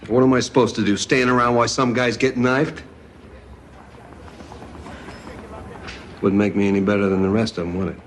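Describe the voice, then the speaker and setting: Actor as low-voiced, sardonic male convict. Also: fairly even intonation, quick pace, slight background noise, close to an everyday voice. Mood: defiant. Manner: sardonic, stubborn. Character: defiant, wry male convict pianist, wrongly imprisoned. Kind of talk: naturalistic television drama acting.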